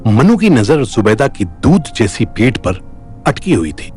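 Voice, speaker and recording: A male narrator delivers a dramatic audio story with a very varied pitch. His voice sits at a medium height, with music underneath. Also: sing-song, a little slow, darkish tone.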